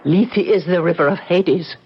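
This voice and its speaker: An actress playing an anxious, superstitious ballerina in a 1970s radio drama, speaking in a hesitant, dramatic way. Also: female voice, lowish voice, moderate pace, breathy edge. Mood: fearful, superstitious. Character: anxious, haunted, superstitious foreign woman, a ballerina. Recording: muffled, vintage 1970s radio broadcast.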